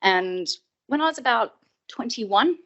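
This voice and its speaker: A woman's voice: a little high, animated, a little slow, fairly bright tone, muffled recording. A woman in her forties with an Australian accent opening a public lecture in a friendly, self-deprecating way. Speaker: keynote speaker.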